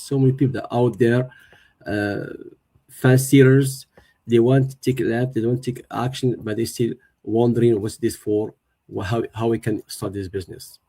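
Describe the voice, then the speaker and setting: Guest speaker: man, middle-aged, Moroccan accent, dark mellow tone, halting testimonial delivery. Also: mid-range voice, slightly rough. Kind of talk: personal testimonial on a livestream.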